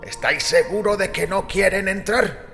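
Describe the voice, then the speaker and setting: A man voicing an elderly, gentle, good-humored priest with courteous, formal old-fashioned speech in an audio drama. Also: sits high, moderate pace, gravelly, big-room sound, soft background music, pitched above the performer's own voice. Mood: welcoming. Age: older. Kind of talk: audiobook character acting.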